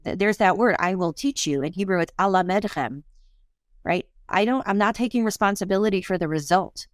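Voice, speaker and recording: A woman's voice: mid-range voice, fairly quick, no room sound, studio quality. A woman in her fifties, a parenting coach and author, talking earnestly and reflectively in a podcast interview, with self-deprecating honesty.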